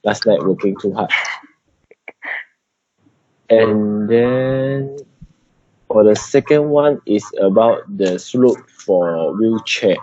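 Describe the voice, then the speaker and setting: Slow, low-voiced Malaysian man on video call. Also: mellow. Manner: halting, non-native English phrasing. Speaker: meeting participant giving an update. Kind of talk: informal work presentation on a video call. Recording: dull, noisy video call with clicks.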